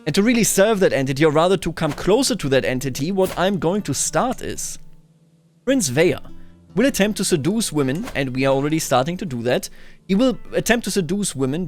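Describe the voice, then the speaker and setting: A man giving casual roleplay commentary on a video game, musing and narrating it as a story. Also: a little high. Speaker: gaming video host. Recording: music under the voice. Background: background music.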